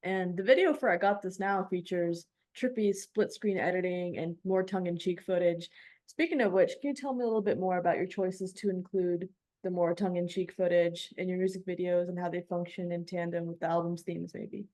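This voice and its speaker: A woman's voice: lowish voice, steady tone, fairly quick, mellow. A woman working as a radio DJ, asking interview questions and responding briefly to her guest.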